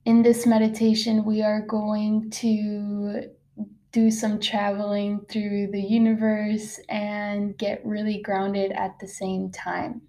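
A woman guiding a meditation, soft-spoken and unhurried, leaving long pauses between soothing phrases. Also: medium pitch, completely flat delivery. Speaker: meditation guide. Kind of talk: guided meditation, slow soothing narration.

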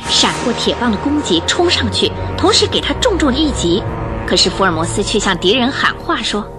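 A woman narrator telling a scripted radio drama story in an expressive, suspenseful style. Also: fairly high pitch, brisk. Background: music under the voice.

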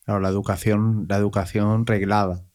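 A man hosting a podcast interview in a relaxed way, often rephrasing his questions and adding tag questions. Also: lowish voice, fairly quick. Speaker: podcast host. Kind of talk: conversational interview.

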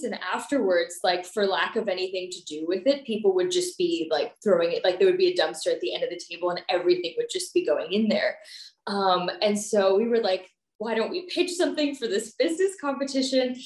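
Podcast guest, young woman, informal and chatty, mid-pitched voice in a noticeably echoey room. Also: brisk. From United States and Pakistan. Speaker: podcast guest, nonprofit founder. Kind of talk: casual conversational interview answers. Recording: roomy, echoey sound.

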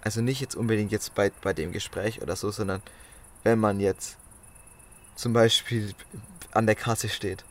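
A man's voice: fairly low pitch, steady tone, moderate pace. A man in casual podcast chat, musing and thinking aloud in loose phrasing. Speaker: podcast co-host.

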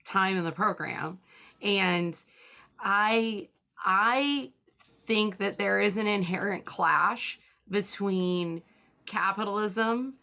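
A woman's voice: mid-range voice, slow, sharp tone. A woman speaking candidly and articulately in a casual, reflective conversation. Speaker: comedian.

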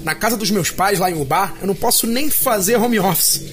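A man's voice: high-pitched, a little fast, a bit bright. Man playing a folksy young Minas Gerais student. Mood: hopeful, chatty. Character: earnest, ambitious young male engineering student.

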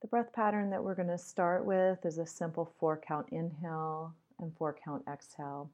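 A woman leading a guided meditation in a measured, instructional way with deliberate pauses, on slightly dull audio. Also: deep voice, steady tone, a little mellow.

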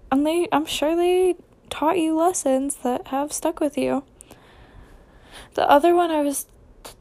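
A young American woman with a high voice talks through a casual podcast at an unhurried, slow pace. She rambles informally, often second-guessing and correcting herself. Her tone is soft-edged and mellow rather than bright. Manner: informal, self-questioning, trailing off and correcting herself. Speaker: solo podcast host.

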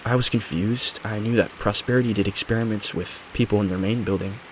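A man's voice: on the low side, brisk, natural voice, poor audio. A man voicing a determined young woman who explains things matter-of-factly in a scripted mystery story. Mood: intent. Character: determined young woman recounting her brothers' investigation. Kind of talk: scripted audio story dialogue. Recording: steady rain noise behind voice.

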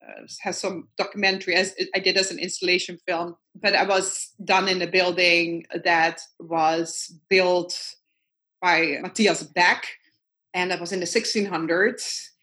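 A woman's voice: lowish voice, fairly even intonation, a little slow, pushed and bright. A woman with a Dutch accent, whose first language is Dutch, speaks as a guest artist in a casual interview over a video call. She thinks aloud in a reflective way and circles back to her points.

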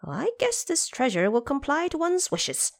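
A woman's voice: high voice, wildly swooping pitch, moderate pace, no room sound, clean studio sound, pitched above the performer's own voice, exaggerated voice. A woman voicing a cocky, impatient male Monkey King who boasts and demands, in an audio drama. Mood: pleased, musing.

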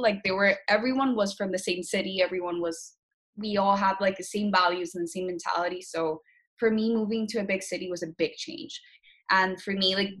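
A woman's voice: medium pitch, a bit bright. A young woman from Colombia, a university student talking casually on a panel, with plenty of fillers. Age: young adult. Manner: chatty, many fillers.

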